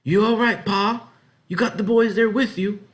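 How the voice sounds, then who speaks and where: A man's voice: sits high, steady tone, moderate pace, voice pushed up, natural voice. A man voicing a stern, exasperated farmer's wife with a commanding, exclaiming manner in a read-aloud story. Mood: calling out.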